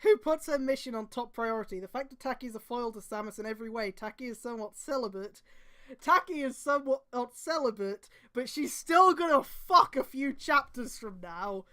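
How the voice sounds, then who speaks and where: A woman's voice: fairly high pitch, lively intonation, normal speed, fairly bright tone. A woman streamer reading fan fiction aloud, with sweary, exasperated commentary and emphatic delivery. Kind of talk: mocking read-aloud with casual commentary.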